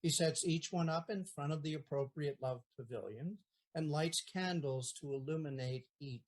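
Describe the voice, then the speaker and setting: A narrator reading stage directions in an audio play in a plain, measured way. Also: medium pitch.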